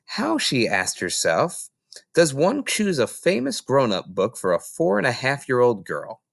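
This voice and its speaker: Animated man reading a storybook. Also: medium pitch, unhurried, gravelly, no room sound, clean studio sound. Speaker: reader. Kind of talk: expressive storybook narration.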